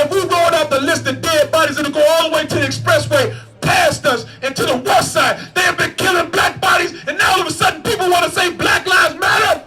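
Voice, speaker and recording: A man running for office, giving a fiery shouted protest speech at a rally with a repetitive, preacher-like cadence. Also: very high voice. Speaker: political candidate at a rally.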